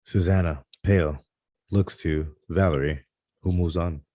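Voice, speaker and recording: A man reads out screenplay stage directions as narrator. His voice is deep and mellow, with a dry, close sound. The delivery is halting, tripping on the odd word. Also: fairly even intonation, no room sound. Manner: halting read-aloud with stumbles over words. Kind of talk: scripted narration at a table read.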